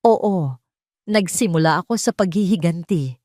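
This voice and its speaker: A woman voicing a grieving, guilt-ridden man in an audio drama, speaking gravely and haltingly with pain. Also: lowish voice, animated, warm tone, no echo, clean studio sound. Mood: heavy, remorseful.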